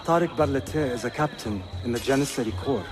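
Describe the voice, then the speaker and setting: A man's voice: mid-range voice, fairly quick, over music, natural voice. A man, playing a courteous, shrewd seventeen-year-old Ottoman prince in a video game, composed and assured. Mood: composed, earnest. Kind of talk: cinematic game acting.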